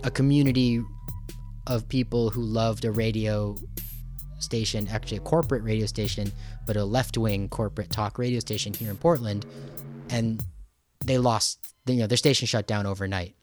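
A man's voice: on the low side, fairly even intonation, normal speed, darkish tone, soft background music. A man hosting a casual conversational interview, talking in a rambling, enthusiastic and self-deprecating way. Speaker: radio show host.

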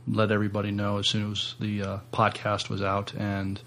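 Flat, creaky-voiced man with low pitch. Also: normal speed. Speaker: podcast co-host. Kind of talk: casual chat.

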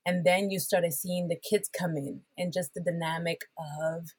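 A middle-aged woman from Puerto Rico with a somewhat low, husky voice, talking as a podcast guest. She chats in a lively, friendly way and breaks into laughter often. The sound is dry and close. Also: unhurried, no echo. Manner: warm, laughs often, animated. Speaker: podcast guest, worship pastor. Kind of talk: casual chat.

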